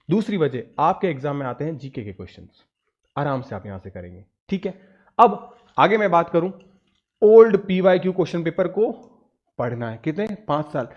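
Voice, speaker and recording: A man's voice: sits high, dull audio. A man with an Indian accent lecturing students on exam preparation, emphatic and instructive, often asking and answering his own questions, with English words mixed in. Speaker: exam coaching teacher.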